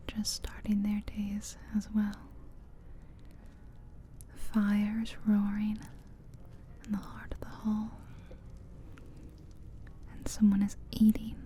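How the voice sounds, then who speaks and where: Woman, ASMR guided narration, breathy whisper, very mellow and dark tone, even pitch, soothing, close-miked. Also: slight background noise. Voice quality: breathy, whispery soft-spoken voice. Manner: slow, gentle, soothing, with long pauses. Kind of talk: ASMR guided visualization, scripted second-person narration. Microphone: close ear-to-ear ASMR microphone.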